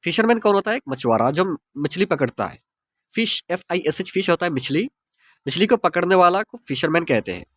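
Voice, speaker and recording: An Indian man who speaks Hindi as his first language teaches English through a story. He reads each English line very slowly and spells words out letter by letter. His voice is high and swings widely in pitch, and the sound is dry and close. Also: expressive, a bit bright, dry recording.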